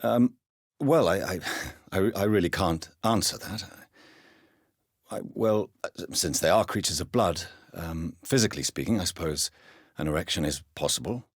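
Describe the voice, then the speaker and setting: An actor playing a refined, brooding doctor turned vampire in a video game, formal and articulate, with a deep, husky voice. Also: male voice, moderate pace, no echo, clean studio sound. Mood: flustered. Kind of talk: dramatic video game voice acting.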